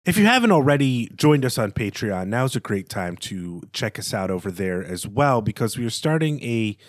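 A man's voice: on the low side, very animated, moderate pace, no echo, clean studio sound. A man co-hosting a literary podcast, chatting casually and with enthusiasm for his subject. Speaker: podcast co-host.

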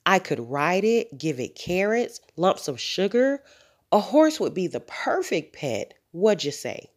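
A woman's voice: lowish voice, sing-song, a little slow, voice pushed up. A woman voicing an eager, scheming little girl who coaxes persuasively in a children's storybook. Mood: eager, coaxing. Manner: coaxing, listing persuasively.

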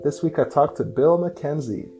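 A man hosting a business podcast, giving a lively promotional intro and asking his guest questions. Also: on the high side, moderate pace, over music. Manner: upbeat, promotional delivery. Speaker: podcast host. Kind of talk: casual podcast intro and interview.

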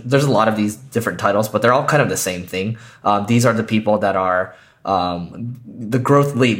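Man, raspy, hoarse, lower voice, casual podcast talk, frequent ums. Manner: loose, with many ums and uhs. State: hoarse from a lost voice. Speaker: podcast co-host. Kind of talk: casual business podcast chat.

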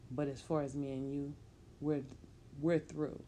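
A woman doing a harsh, dismissive tradesman for a storybook, with a low, dark voice. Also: little pitch movement, a little slow, slight background noise. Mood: cold. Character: angry wealthy male tradesman, cold and cruel.

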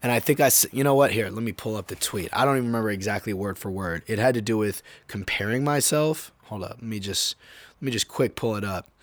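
A young man with a sore throat, slightly hoarse, hosting his own podcast in a laid-back, slangy, rambling way. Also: lowish voice, quick pace. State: sore throat, getting over being sick. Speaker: podcast host. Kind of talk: casual solo podcast talk.

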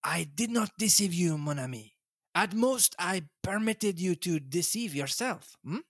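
A man's voice: sits high, animated, unhurried, husky, dry recording, voice pushed up, full character voice. Man playing fussy old detective, put-on French accent, coaxing hmms, dry sound. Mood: explaining. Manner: coaxing, ends lines with a questioning hmm. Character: fussy elderly male Belgian detective.

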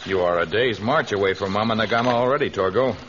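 An actor playing a kindly, protective and resolute jungle hero in an old radio adventure serial. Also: male voice, lowish voice, quick pace, fairly bright tone, lightly acted. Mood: kindly, amused. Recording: dull, noisy vintage radio transcription.

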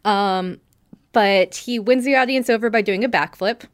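A woman's voice: medium pitch, moderate pace. A woman podcast host giving a casual, chatty recap, telling the story with acted-out quotes and plenty of "like".

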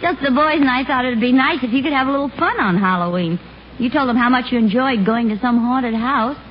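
An actress playing a placating, gently evasive suburban wife and mother, soothing and innocent, in 1940s radio sitcom style. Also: fairly high pitch, expressive, fairly quick, a little hiss or hum, natural voice. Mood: confiding. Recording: muffled old radio broadcast, music behind.

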